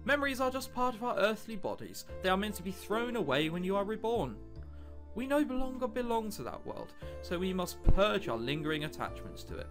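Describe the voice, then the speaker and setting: A man reading aloud as a calm young woman, speaking gently and philosophically. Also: medium pitch, fairly quick, over music, pitched above the performer's own voice, lightly acted. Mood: serene.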